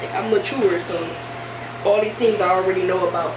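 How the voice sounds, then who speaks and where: A young woman chatting casually in an animated way, often acting out bits of conversation as she retells them. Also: mid-range voice, a little fast. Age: young adult. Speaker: vlogger. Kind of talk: casual chat. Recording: distant mic, echoey room, steady hiss.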